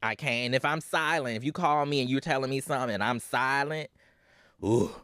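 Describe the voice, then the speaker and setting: A man's voice: medium pitch, brisk, a bit bright, dry recording. A man guesting on a podcast in casual chat, sassy and emphatic, often tagging his points with "okay?".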